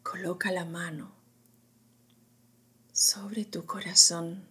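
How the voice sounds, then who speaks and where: Female meditation guide, lowish pitch, extremely breathy near-whisper, soft and soothing phrasing. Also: little pitch movement. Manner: slow, hushed delivery in short phrases with long pauses.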